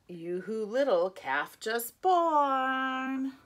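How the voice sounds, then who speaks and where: A woman preschool teacher reads a picture book to little children, drawing out every line very slowly. Her voice swoops widely in pitch, sing-song and playful, with gasps as she turns each page. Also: big pitch swings. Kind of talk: reading a picture book aloud to young children.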